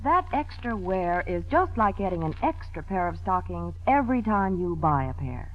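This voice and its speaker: Female vintage commercial announcer, lowish lively voice, muffled sound. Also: moderate pace. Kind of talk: 1940s radio advertising read. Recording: muffled, noisy vintage radio broadcast.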